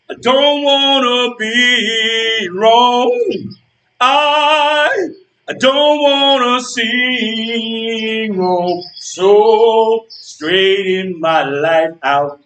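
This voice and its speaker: A man's voice: extremely high pitch, fairly even intonation, fairly bright tone, full character voice. Man acting a remorseful soul pleading with God, roomy echo. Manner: earnest, pleading, drawn-out delivery. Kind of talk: gospel stage play with soulful singing. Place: stage in a large room. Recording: roomy, echoing stage, distant microphone.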